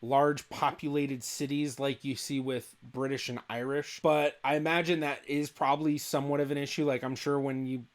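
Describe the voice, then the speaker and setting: A young adult man, a genealogist, explaining test results in a relaxed, thinking-aloud commentary. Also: mid-range voice, moderate pace, few pauses. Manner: thinks aloud, hedging with "I feel like". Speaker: genealogist reacting to a video.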